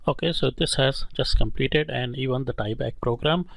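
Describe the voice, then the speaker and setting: A man giving a step-by-step, explanatory voice-over as an instructor in a screen-recorded software tutorial. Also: mid-range voice, moderate pace, vocal fry, no room sound.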